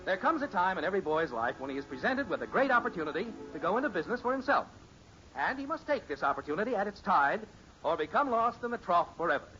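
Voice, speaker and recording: A man's voice: sits high, big pitch swings, fast, sharp tone, soft background music. A man narrating an old radio show in a wry, mock-grandiose, formal announcer style. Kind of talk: scripted 1950s radio narration. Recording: dull old radio recording.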